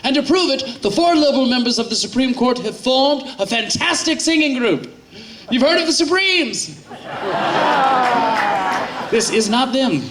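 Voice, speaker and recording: A man's voice: very high voice, sing-song, moderate pace, long pauses, background noise. A man on a live stage as the troupe's emcee, chatting through a setup and announcing the next act. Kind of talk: live comic stage introduction. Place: outdoor amphitheater stage.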